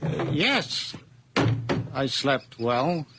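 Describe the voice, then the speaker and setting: An actor playing a kind, hesitant older farmer in a radio drama, gentle and fond of his hired man. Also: medium pitch, very animated, extremely slow. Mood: frail, uneasy. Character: kindly aging male farmer, employer. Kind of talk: 1980s radio drama acting. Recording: vintage radio broadcast with faint noise.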